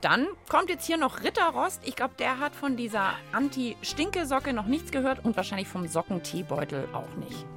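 A woman's voice: high voice, big pitch swings, moderate pace, few pauses, music in the background. A woman hosting a children's podcast in a studio, chatting playfully and explaining hands-on experiments in an encouraging way.

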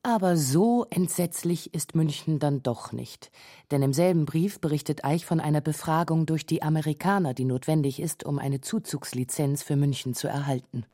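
Woman narrator for radio documentary; deep for a woman, soft-toned, deliberate. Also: dry recording, studio quality.

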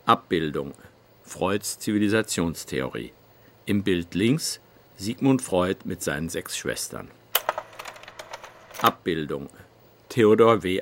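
A man's voice: low voice, slight background noise. A man reading literary quotations and captions in a sober, formal announcing manner.

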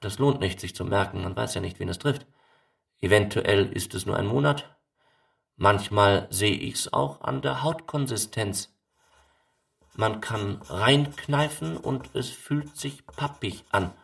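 An actor playing a callous camp overseer in a dramatised documentary monologue, detached and matter-of-fact. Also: male voice, lowish voice, slow, creaky, fairly bright tone, heavily acted.

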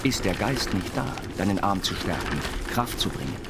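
A man's voice: low-pitched, sing-song, moderate pace, very noisy. A man giving a literary reading of written texts for a radio feature. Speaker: reader of an inventor's writings. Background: music.

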